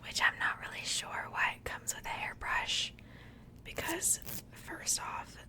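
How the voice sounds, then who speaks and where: A woman speaking in a breathy, unvoiced whisper, chatting softly and informally in the style of an ASMR unboxing. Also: measured pace. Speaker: ASMR video creator.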